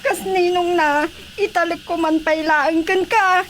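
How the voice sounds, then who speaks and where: A woman's voice: very high-pitched, steady tone, a little slow, background noise. A woman with an Ilocano accent acting a pleading, chatty village mother in a radio drama comedy.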